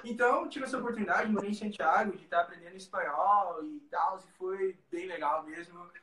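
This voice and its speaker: Professor brasileiro de voz aguda e distante. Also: male voice, sits high, fairly quick, reverberant. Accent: Brazilian Portuguese. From Brazil. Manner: friendly, relaxed, many "né" tags. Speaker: language teacher. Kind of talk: guest on livestream.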